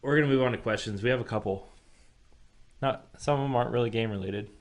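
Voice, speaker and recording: A man's voice: medium pitch, fast. A man co-hosting a gaming podcast in a laid-back, informal way, chatting and reading out listener questions.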